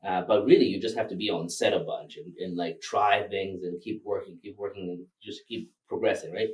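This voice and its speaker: A man's voice: low-pitched, fairly even intonation, a little fast, distant mic, rough recording. A man from Rwanda, Kinyarwanda his first language, talking loosely as a filmmaker guest with many fillers and laughs. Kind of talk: casual interview.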